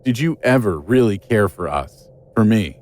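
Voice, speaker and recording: Troubled male investigator, played by an actor: lower register, self-destructive, guarded, hurt, for audio drama. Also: lively intonation, moderate pace, a little mellow, soft background music, close to an everyday voice. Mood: wounded, wary.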